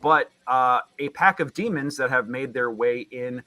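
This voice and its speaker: A man hosting a tabletop game as game master, narrating in a halting way that often backtracks. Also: medium pitch, sharp tone, no room sound. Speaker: game master and stream host.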